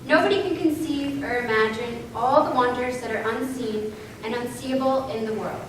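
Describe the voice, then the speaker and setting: A high-pitched woman delivers scripted lines for a readers theater. She sounds distant, in a strongly echoing space with noticeable noise. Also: moderate pace. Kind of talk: scripted stage recitation. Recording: echoey hall, distant mic, noisy.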